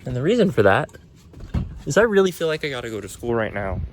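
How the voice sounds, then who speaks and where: A man's voice: medium pitch, sing-song, fast talker. Stuffy-nosed young man vlogging from car. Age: young adult. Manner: rambling, self-correcting. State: sick, nasal. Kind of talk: casual vlog while driving. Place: car on the road. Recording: car noise behind voice.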